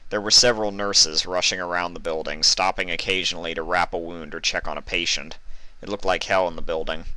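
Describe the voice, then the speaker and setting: Low-voiced man narrating, bright and dry. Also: fairly even intonation, fairly quick, no room sound, poor audio. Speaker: fan fiction reader. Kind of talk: dramatic scripted narration.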